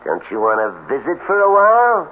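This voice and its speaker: An actor in a radio drama playing a spiteful backwoods bully with a Southern US accent, taunting in a mock-friendly way. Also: male voice, sits high, wildly swooping pitch, fairly quick. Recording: tinny, hissy old radio broadcast recording.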